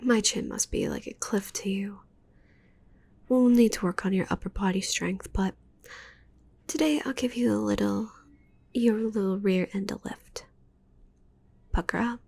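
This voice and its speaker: Breathy, whispering giant witch girlfriend, acted by a woman. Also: mid-range voice, darkish tone. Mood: playful, teasing, then adoring, hungry. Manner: whispery, slow, cooing and teasing. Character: giant possessive yandere witch, teasing, doting, menacing girlfriend. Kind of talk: intimate ASMR roleplay.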